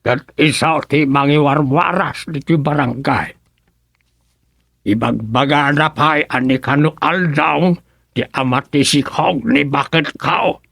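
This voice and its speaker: A man with an Ilocano Filipino accent playing an aggrieved, gravelly villager in a radio comedy drama. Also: fairly high pitch, slow, a bit bright, no room sound, clean studio sound, full character voice.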